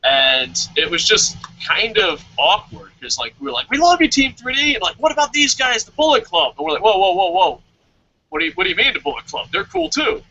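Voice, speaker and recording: A man hosting a casual wrestling review chat, excitable, stammering at times and bursting into loud animated quotes. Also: high-pitched, a little fast. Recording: dull lo-fi audio.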